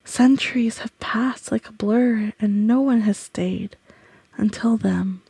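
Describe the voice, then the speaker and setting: Woman playing lonely ghost, gentle, pleading, slow delivery, breathy half-whisper, audio roleplay. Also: fairly high pitch, no echo, heavily acted. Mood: wistful, pleading. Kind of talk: scripted audio roleplay monologue.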